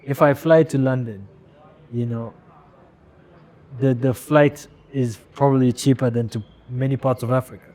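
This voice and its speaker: A male fashion founder from Rwanda talking candidly as an interview guest. He speaks at a middle pitch, haltingly, often restarting words as he gathers his thoughts. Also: steady tone, darkish tone, poor audio.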